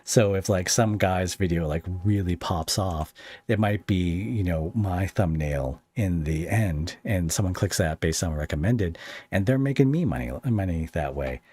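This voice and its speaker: A man's voice: sits low, animated, unhurried, a little mellow, slightly rough. A man hosting a casual podcast interview, talking informally in a rambling, hesitant way.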